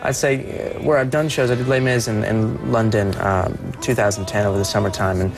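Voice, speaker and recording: A young man speaking as a performer guest on a TV interview, soft-voiced and earnest, often restarting his sentences. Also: lowish voice, steady tone, a little fast, vocal fry, over music. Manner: earnest, with many restarts and repeated words. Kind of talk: TV morning show interview.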